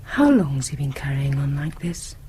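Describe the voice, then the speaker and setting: An actress playing a concerned, exasperated grown-up British daughter in a naturalistic radio drama, probing and direct. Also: female voice, very deep voice, big pitch swings, normal speed, warm tone, slight background noise. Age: young adult.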